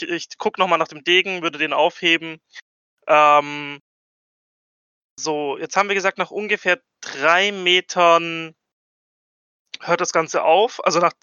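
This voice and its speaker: Bright, higher-voiced man at a gaming table. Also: no room sound, lo-fi sound. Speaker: tabletop role-playing game player. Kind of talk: casual game table chat, thinking aloud.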